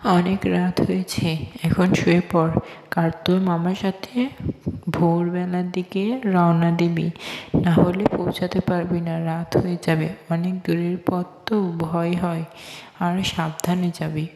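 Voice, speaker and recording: A woman's voice: lowish voice, level tone, a little slow, dark tone, slight background noise. A woman playing a fussing, affectionate village mother in an amateur cartoon dub. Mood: gentle warning. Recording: echoey, long reverb.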